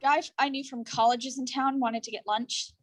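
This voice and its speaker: A woman's voice: sits high, steady tone, brisk. A woman playing a blunt, sarcastic, impatient friend in a staged play reading, dismissive in manner. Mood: evasive.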